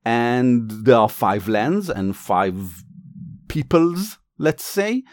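A man with an Israeli accent explaining and narrating as game master in a halting, casual, enthusiastic way. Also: mid-range voice, very animated, no echo, clean studio sound. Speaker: game master, game designer and writer.

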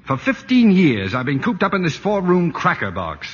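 Animated, stubborn, dreamy American husband. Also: male voice, high voice, normal speed, breathy edge, full character voice. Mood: defensive. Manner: earnest, defensive, hesitant starts. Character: stubborn, dreamy middle-class husband, smitten with his house. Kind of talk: 1940s radio acting. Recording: dull lo-fi 1940s radio broadcast.

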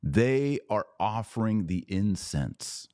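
A man's voice: lowish voice, very animated, takes it slowly, mellow, no echo, clean studio sound. A man hosting a podcast, lecturing with emphasis and repeating his points for stress. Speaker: podcast host. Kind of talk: podcast commentary on church liturgy.

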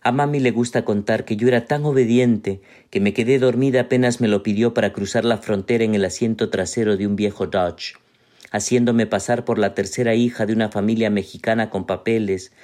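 A man reading a short story in the voice of a teenage girl narrating her own past, calm and measured. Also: lowish voice, natural voice. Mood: reflective. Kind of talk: read-aloud short story.

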